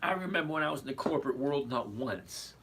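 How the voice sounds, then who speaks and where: A man hosting a casual stock-trading livestream, his voice hoarse and cracking as he chats with viewers and keeps asking "okay?". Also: mid-range voice, lively intonation, quick pace, a bit bright. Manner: chatty, repeats "okay?", answers viewers. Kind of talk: casual livestream market commentary. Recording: distant mic with faint background noise.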